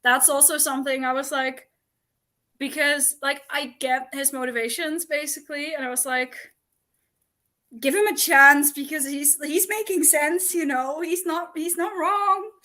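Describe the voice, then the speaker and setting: A woman co-hosting a book livestream, giving opinions in a halting, conversational way and voicing imagined thoughts. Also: high-pitched, fairly even intonation, bright, forceful tone. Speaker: co-host of a book discussion livestream. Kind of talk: casual opinionated chat. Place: online livestream call.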